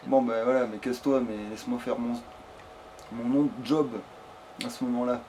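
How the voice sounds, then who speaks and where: A man's voice: mid-range voice, fairly even intonation, normal speed, warm tone. A man doing casual, sweary let's play commentary, grumbling at the game as he plays. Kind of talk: casual gaming commentary with banter. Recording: clearly noisy home game recording.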